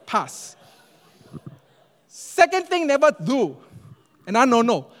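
A man with a Singaporean English accent preaching a sermon as pastor, animated and emphatic, repeating phrases for effect. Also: extremely high pitch, takes it slowly. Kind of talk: sermon to a congregation. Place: church auditorium. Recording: long reverb of a large church hall.

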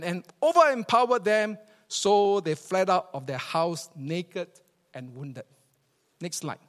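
A man with a Singaporean accent preaching a church sermon as a pastor, emphatic and repeating phrases for effect. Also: high voice. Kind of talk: church sermon with scripture reading and storytelling. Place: large church hall, preaching to a congregation. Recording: reverberant hall.

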